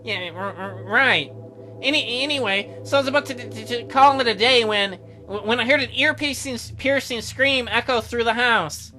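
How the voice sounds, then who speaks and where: A man's voice: very high-pitched, moderate pace, bright, forceful tone, faint music behind, voice pushed up, heavily acted, low-quality recording. A man voicing a nervous, eager, lonely house cleaner who stammers and hesitates, for a visual novel game.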